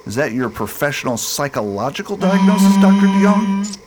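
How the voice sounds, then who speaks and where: A man's voice: sits high, very animated, moderate pace, loud music behind the voice, rough recording. A man playing a wry, composed space expedition leader in a science fiction radio drama, speaking casually. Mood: curious, wry.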